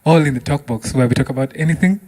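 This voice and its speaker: A man's voice: medium pitch, rapid-fire, mellow. A man with a Kenyan accent hosting a music talk show in casual, bantering style with exclaiming reactions. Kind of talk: casual bantering interview, switching between English and Swahili.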